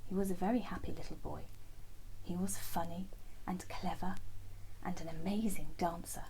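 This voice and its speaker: Lady reading kids a bedtime story, soft n breathy, warm mellow voice, gentle but expressive, bit of background noise. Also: fairly low pitch, normal speed, rough recording. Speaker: children's storyteller. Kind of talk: scripted bedtime story narration.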